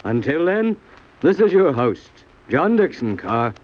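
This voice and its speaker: A man's voice: a little high, sing-song, a little slow. A man hosting a mystery anthology in a formal, theatrical 1940s radio manner. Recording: muffled old radio broadcast recording.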